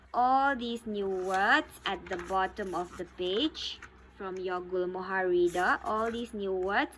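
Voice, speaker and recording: Slow, patient schoolteacher. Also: female voice, medium pitch, a bit bright, a little hiss or hum, poor audio. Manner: slow and deliberate, repeats each definition, ends phrases with "okay?". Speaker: school teacher. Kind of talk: vocabulary lesson for young pupils.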